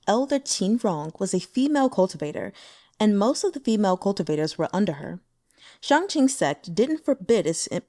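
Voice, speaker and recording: Expressive woman reading a novel aloud. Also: medium pitch, gravelly, no room sound, studio quality. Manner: expressive, measured storytelling. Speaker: audiobook reader.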